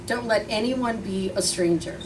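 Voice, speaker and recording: A woman reading a letter aloud at a memorial gathering, giving short listed phrases with pauses between them. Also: mid-range voice. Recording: distant mic, noisy crowded venue.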